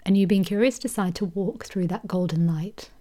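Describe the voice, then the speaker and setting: A woman leading a guided meditation, speaking slowly and soothingly, sometimes dropping into a whisper. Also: mid-range voice. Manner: slow soothing delivery with soft whispered phrases.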